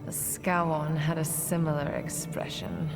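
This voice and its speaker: Low-voiced, cool rebel conspirator. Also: female voice, flat delivery, unhurried. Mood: cool resolve. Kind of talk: dramatic game dialogue. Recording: long hall reverb, loud music.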